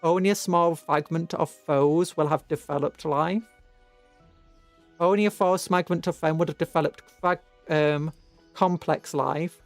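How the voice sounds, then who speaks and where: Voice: mid-range voice, steady tone, unhurried, no room sound. A British VTuber streamer chatting casually to viewers in a rambling, musing way, often stopping to correct themselves. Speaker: VTuber livestreamer. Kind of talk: casual livestream chat with viewers. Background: background music.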